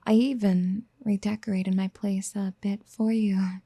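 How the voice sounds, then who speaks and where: Casting: actress as obsessive motherly yandere for ASMR roleplay, very mellow and breathy, slow whispered coaxing, tender and possessive. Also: mid-range voice, level tone, dry recording, studio quality. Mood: adoring. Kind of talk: soft-spoken ASMR roleplay acting. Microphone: close mic.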